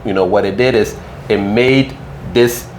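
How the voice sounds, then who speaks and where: A man's voice: lowish voice, a little slow, slight background noise. A Ghana-born man as an interview guest, explaining things patiently and checking in with "right?" and "you know".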